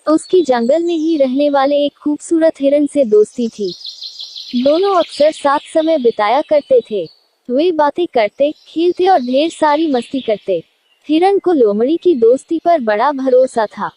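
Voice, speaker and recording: A woman with a high voice narrates a scripted story for children. She speaks as a storyteller presenting a fable. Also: dark tone, a little hiss or hum.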